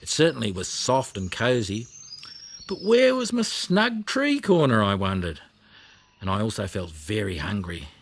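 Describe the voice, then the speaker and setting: A man's voice: fairly low pitch, big pitch swings, a little slow, slight background noise. A man voicing a chatty, motherly female koala who tells of her plight in a dramatic, plaintive way for a children's audiobook. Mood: plaintive, indignant. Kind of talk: children's audiobook character reading.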